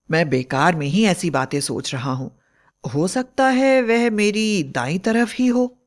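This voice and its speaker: A woman storyteller reading a scripted bedtime fairy tale as narrator. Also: mid-range voice.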